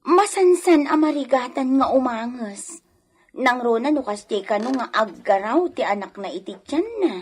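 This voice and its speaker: Husky, high-voiced fretful maidservant. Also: female voice, lively intonation, moderate pace, a little mellow. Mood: anxious reporting. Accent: Filipino. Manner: anxious, deferential. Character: worried, loyal female household servant, earnest and fretful. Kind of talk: Ilocano radio drama acting.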